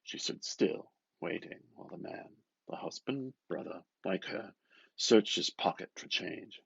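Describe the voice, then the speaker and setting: A man reading a novel aloud with expressive, dramatic narration, musing and often dropping into a hushed murmur. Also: deep voice, unhurried, vocal fry, breathy edge, no echo, lo-fi sound. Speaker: reader. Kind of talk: expressive literary audiobook narration.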